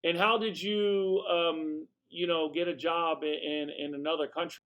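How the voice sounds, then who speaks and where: A man interviewing his guests, asking halting questions padded with fillers. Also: a little high, steady tone, lo-fi sound.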